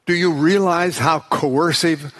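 Male preacher, higher-pitched voice, slow deliberate delivery, rhetorical phrasing in short segments. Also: steady tone, fairly bright tone. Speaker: preacher giving a lecture.

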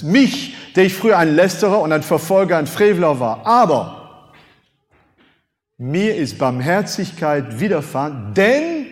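A man in his fifties, a native French speaker, preaching a sermon with animated rhetorical questions and emphatic swings in loudness. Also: a little high. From France. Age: 50s. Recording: long hall reverb.

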